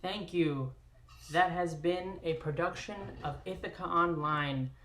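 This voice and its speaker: A man's voice: a little high, measured pace, sharp tone. A man hosts and signs off an online performance in a presenter's style. His voice has a roomy echo around it. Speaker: host closing the show. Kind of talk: presenter's closing announcement. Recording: echoey room sound.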